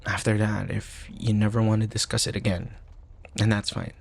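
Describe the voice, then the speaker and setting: Man acting a husky, low-voiced protective best friend. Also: little pitch movement, moderate pace. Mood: tender, caring. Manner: soft, sighing, hesitant stammers, breathy asides. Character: young male college student best friend, protective, teasing, tender. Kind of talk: intimate ASMR boyfriend roleplay audio.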